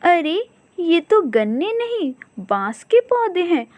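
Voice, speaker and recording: A woman voicing a small, firm and sharp-tongued female bird shopkeeper in a cartoon, scolding and emphatic. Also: very high-pitched, sing-song, slow, no room sound, dull audio, voice pushed up, exaggerated voice. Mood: feigned surprise.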